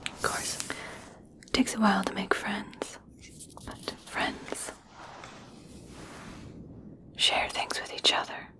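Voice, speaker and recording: A woman playing a gracious, coaxing elf princess in a whispered ASMR roleplay, speaking softly and soothingly with formal politeness. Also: mid-range voice, flat delivery, natural voice. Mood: coaxing, veiled. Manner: soft, slow, soothing, formal. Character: regal elf princess, gracious, coaxing, subtly conditional kindness.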